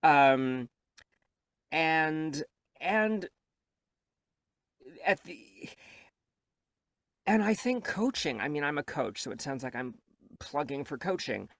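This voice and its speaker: A reflective, self-deprecating man speaks as a guest in an interview, and his voice sits a little high. The recording is dry and close to the mic. He picks up pace when he gets animated. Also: slow pace, pauses often, dry recording. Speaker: audiobook narrator and coach, guest. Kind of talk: candid interview conversation.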